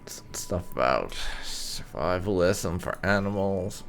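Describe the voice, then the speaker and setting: A man doing casual playthrough commentary as a gaming host, musing aloud in an unhurried way as he plays. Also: deep voice, expressive, gravelly, a bit bright, slight background noise.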